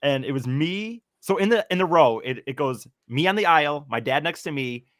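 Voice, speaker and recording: A man co-hosting a podcast, telling stories casually in a loud, animated way. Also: fairly high pitch, moderate pace, no room sound, clean studio sound. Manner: loud-voiced, animated storyteller.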